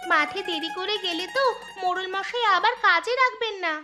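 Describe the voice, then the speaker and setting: A woman's voice: very high voice, a little fast. A woman voicing a sweet, patient young village girl in a cartoon story. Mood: weary, coaxing. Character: dutiful young village girl caring for widowed father. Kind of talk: Bengali cartoon story dialogue. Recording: clear music bed behind voice.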